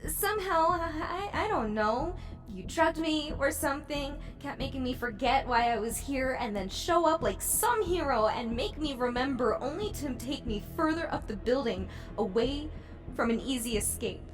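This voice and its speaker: A woman's voice: sits high, animated, normal speed, music in the background. An actress playing a wary, frightened young woman in an audio drama, hesitant and guarded as she speaks. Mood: accusing, frightened.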